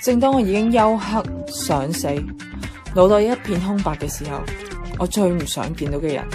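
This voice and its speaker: A woman's voice: mid-range voice, moderate pace, over music, natural voice. An actress playing a single young office woman narrating her woes in a radio drama, wry and confiding, with a Hong Kong Cantonese accent. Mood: wistful. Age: young adult.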